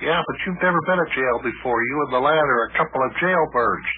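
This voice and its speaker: A man's voice: medium pitch, quick pace, phone-quality sound, lightly acted. A man acting a grumbling, self-pitying cab driver turned private eye in an old-time radio comedy, lamenting in a melodramatic way. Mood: grumpy. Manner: woeful, melodramatic, repetitive lamenting. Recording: thin, noisy old radio recording.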